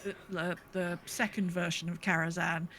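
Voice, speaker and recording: A woman's voice: lowish voice, little pitch movement, normal speed, fairly bright tone, a little hiss or hum. A woman co-hosting a podcast, chatting casually about gaming in a rambling, self-correcting way. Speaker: podcast co-host.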